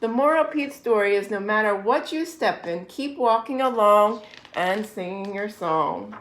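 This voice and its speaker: A woman reading a children's picture book aloud in an expressive, deliberate storytelling manner. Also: medium pitch, reverberant. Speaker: story-time reader, adult with a young child.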